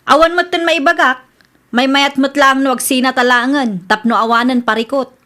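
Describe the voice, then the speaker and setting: Fed up blunt wife in an ilocano radio drama, really high voice, ilocano accent. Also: female voice, fairly even intonation, moderate pace, pushed and bright. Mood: defiant. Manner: exasperated, blunt. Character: fed-up young widow complaining about her lazy second husband.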